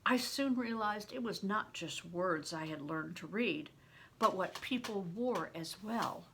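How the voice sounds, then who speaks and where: A woman's voice: medium pitch, expressive, unhurried, a bit bright, a little hiss or hum. An older woman, a grandmother, reading a story aloud expressively, as if to children. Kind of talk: read-aloud audiobook narration with plain asides.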